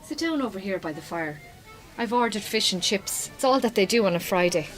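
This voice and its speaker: An actress playing an Irish farmer's wife in a radio drama, direct and wry, with an Irish accent. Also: female voice, mid-range voice, animated, fairly quick, soft background music, lightly acted, rough recording. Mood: wry, friendly. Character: Irish farmer's wife, strained marriage, brisk but yearning. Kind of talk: naturalistic radio drama dialogue.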